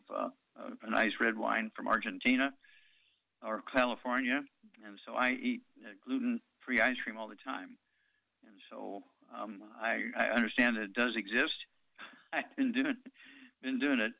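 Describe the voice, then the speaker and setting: A man's voice: mid-range voice, gravelly, bright, forceful tone, no room sound, lo-fi sound. A man in his eighties hosting a call-in radio show, talking in a rambling way with many ums and uhs. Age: 80s.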